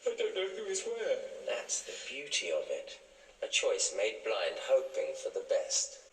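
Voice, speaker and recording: An actor playing a bitter, grief-stricken villain driven mad by loss in a period TV drama. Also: male voice, mid-range voice, huge pitch swings, a little slow, crowd noise. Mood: menacing. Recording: echoey TV audio with score.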